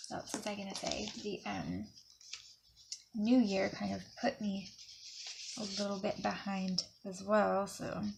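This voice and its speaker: Sniffly woman with a gravelly edge. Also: mid-range voice, background noise. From Alabama, USA. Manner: chatty, rambling, drops to soft near-whispers while working. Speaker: planner vlogger. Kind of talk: casual crafting talk to camera.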